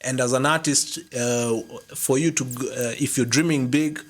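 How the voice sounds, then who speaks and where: A man's voice: medium pitch, fairly even intonation, normal speed. A man co-hosting a casual podcast. He is easygoing and agrees often, and sometimes hunts for a word mid-sentence. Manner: easygoing, agreeable, lots of "yeah", searches for words. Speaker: podcast co-host. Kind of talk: casual chat.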